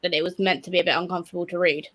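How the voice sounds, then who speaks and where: A woman with a slightly low voice, speaking informally. She sounds like a panellist in a relaxed book club conversation. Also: racing. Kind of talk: casual book discussion chat.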